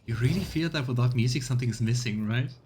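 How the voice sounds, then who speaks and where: A man streaming a video game, chatting casually and reading game text aloud in a relaxed, musing way. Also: medium pitch, fast talker, slight background noise. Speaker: let's play streamer.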